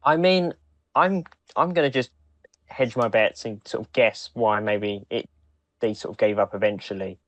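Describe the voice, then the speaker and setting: Male podcast co-host, lower-pitched, dry recording, conversational and stop-start. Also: normal speed, fairly bright tone, dry recording, muffled recording. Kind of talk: casual discussion.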